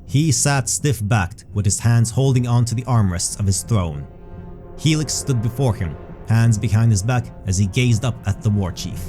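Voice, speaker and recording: A man narrating a scripted fantasy story as a measured storyteller. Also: medium pitch. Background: background music.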